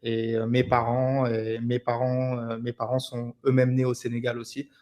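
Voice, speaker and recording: A man's voice: medium pitch, moderate pace. A man in his thirties from Senegal, answering interview questions as a company director over a video call, hesitant with fillers. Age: 30s. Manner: hesitant, flat delivery with fillers.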